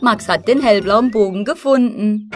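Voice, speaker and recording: A woman's voice: medium pitch, moderate pace, soft background music. A woman narrating a cartoon for toddlers, speaking in simple, exclaiming phrases as if to the characters and young viewers.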